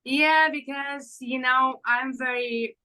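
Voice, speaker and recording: A woman in her thirties from Ukraine, a fashion designer answering interview questions in halting with many fillers. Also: high voice, fairly even intonation, bright, forceful tone. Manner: halting non-native English with fillers.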